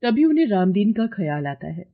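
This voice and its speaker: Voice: mid-range voice, very animated, fairly quick, narrow, tinny audio. A narrator reading a scripted moral bedtime story.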